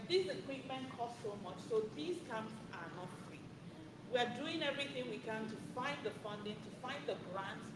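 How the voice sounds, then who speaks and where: A middle-aged woman with a fairly high voice makes an earnest, pleading appeal to a room of parents, hammering home her points by repeating them. She is recorded from a distance in an echoey space, with noise all around. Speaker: camp organizer addressing parents. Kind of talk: impassioned appeal to an audience. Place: indoor event space.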